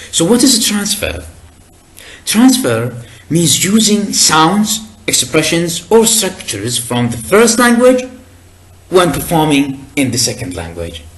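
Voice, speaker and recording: A man whose first language is Arabic, giving a recorded lecture in a deliberate, explanatory way with frequent hesitations and restarts. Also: mid-range voice, rough recording.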